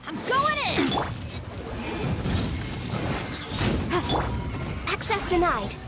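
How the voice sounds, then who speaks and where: A woman voicing a tiny, chirpy, childlike companion girl in a video game, squeaky and excitable. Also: moderate pace. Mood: feisty. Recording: loud game music behind.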